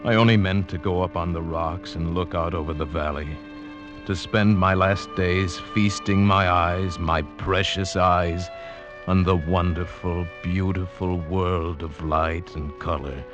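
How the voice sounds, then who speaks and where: An actor playing an anguished, awestruck mountaineer, telling his ordeal in a poetic, measured, intense 1940s radio drama style. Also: low-pitched, steady tone. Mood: awed, wistful. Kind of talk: 1940s radio drama acting, first-person narration. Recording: dull vintage radio recording with music. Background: dramatic orchestral score.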